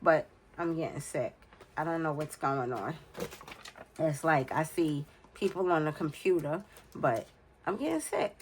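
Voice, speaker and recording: A woman in her forties speaks slowly in a low voice, nasal and stuffed up from a cold. She thinks aloud and counts under her breath as she goes. A faint hum sits behind her at home. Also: expressive. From United States. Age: 40s. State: congested from a cold. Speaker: budgeting vlogger. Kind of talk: casual talk while filling budget challenges.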